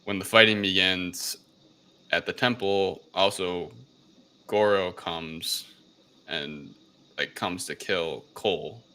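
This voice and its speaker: A man with a deep, bright-edged voice chats casually through a film recap. He rambles and feels his way along haltingly, swearing freely as he goes. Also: steady tone, smooth. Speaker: podcast co-host.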